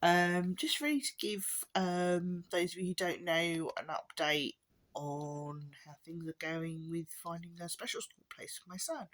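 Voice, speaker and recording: Low-voiced woman vlogger, hoarse from a cough, chatty and meandering delivery. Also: measured pace, fairly bright tone. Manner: rambling, with many ums and restarts, occasional wry laughs. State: hoarse, losing her voice with a chesty cough. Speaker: parent vlogger. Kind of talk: casual spoken update to camera.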